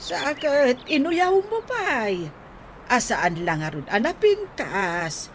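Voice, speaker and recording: A woman's voice: sits high, sing-song, heavily acted. A woman playing a plain-spoken neighbour who chides and advises, in a radio drama, with an Ilocano accent. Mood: chiding. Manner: chiding, measured, emphatic. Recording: noisy, outdoor-like ambience behind.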